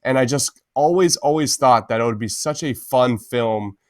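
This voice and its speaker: A man's voice: mid-range voice, normal speed, no room sound, clean studio sound. A man co-hosting a podcast in an enthusiastic, casual chatty style, often tossing in "man". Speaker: podcast co-host.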